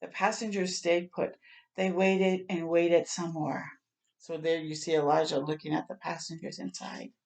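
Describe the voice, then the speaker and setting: A woman with a slightly low voice reads a children's picture book aloud. She is an expressive storyteller who acts out the sounds and talks directly to her young listeners. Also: normal speed. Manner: expressive, acting out sound words and exclamations.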